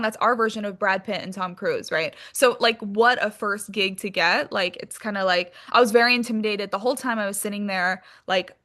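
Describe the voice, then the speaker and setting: A woman's voice: mid-range voice, bright, forceful tone. A woman, an actress from Hong Kong, chatting casually and animatedly as an interview guest, with informal, sweary phrasing full of "like". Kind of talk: casual interview chat, telling career anecdotes.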